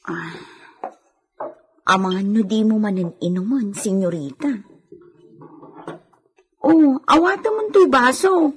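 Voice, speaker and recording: An actress with an Ilocano accent playing a timid, fussing maid in a radio drama. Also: female voice, on the high side, lively intonation, takes it slowly, pauses often, husky, exaggerated voice. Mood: coaxing. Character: fussing, timid maid tending her pregnant mistress. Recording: slightly noisy radio recording.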